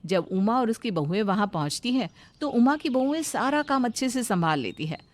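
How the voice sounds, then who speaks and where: A woman's voice: a little high, lively intonation, moderate pace. A woman giving scripted narration for a cartoon moral story, as its narrator.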